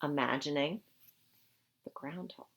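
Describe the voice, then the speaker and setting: A woman reading a picture book aloud to children in an expressive, teacherly way, explaining things simply. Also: sits low, a little slow. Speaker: storybook reader for children.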